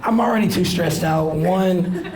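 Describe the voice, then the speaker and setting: A man in his thirties with a raspy voice, doing a loose, rambling stand-up set into a handheld mic on a small club stage. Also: high-pitched, unhurried. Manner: loose rambling delivery with filler "like". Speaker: stand-up comedian. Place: small comedy club stage with live audience. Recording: roomy club with crowd laughter. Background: audience laughter.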